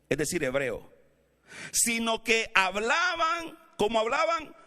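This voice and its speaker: Man, Latin American Spanish accent, pastor preaching, high voice, reverberant church hall. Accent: Latin American Spanish, not Mexican. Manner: preaching, rising to shouts with congregation calls. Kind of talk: sermon. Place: church sanctuary.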